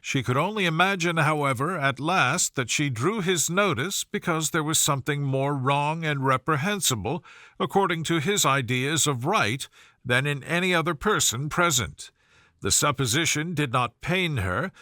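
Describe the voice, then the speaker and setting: A man narrating a classic novel as a scripted bedtime story, with measured, formal, expressive phrasing. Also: a little high, no room sound, clean studio sound. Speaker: audiobook narrator.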